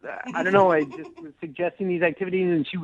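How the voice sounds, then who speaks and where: A man's voice: high voice, steady tone, fast. A nervous, hesitant man rambling through a casual chat as a caller to a radio show. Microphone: telephone. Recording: band-limited phone line on radio.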